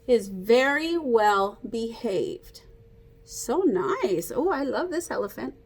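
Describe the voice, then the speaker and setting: Woman, very high voice, slow delivery, teacher-style read-aloud, playful, animated, inviting children to join in. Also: breathy edge. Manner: animated, playful, exclaims and coaxes young listeners to join in. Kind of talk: interactive children's picture-book read-aloud.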